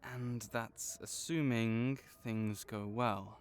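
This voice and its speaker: A man playing a gentle, caring vet for magical creatures in an audio roleplay, speaking in a measured, reassuring, explanatory way. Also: medium pitch, steady tone, clear, resonant tone. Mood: concerned, explaining. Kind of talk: soft-spoken one-sided comfort roleplay.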